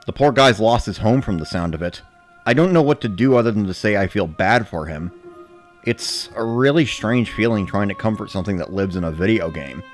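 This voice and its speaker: A man giving a measured, matter-of-fact first-person narration of a scripted story. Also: on the low side. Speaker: narrator of a dramatic reading.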